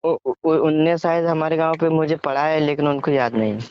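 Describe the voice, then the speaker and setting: A young man in a casual phone conversation, halting and restarting his words, recorded in lo-fi call audio. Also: fairly high pitch, flat delivery, a little hiss or hum. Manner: halting, stammers and restarts word beginnings. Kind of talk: casual personal phone chat.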